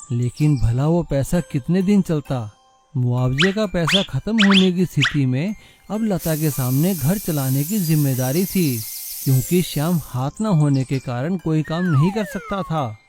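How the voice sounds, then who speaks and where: A man's voice: on the high side, expressive, a little slow, darkish tone, faint music behind. A man narrating a scripted moral story as a storyteller.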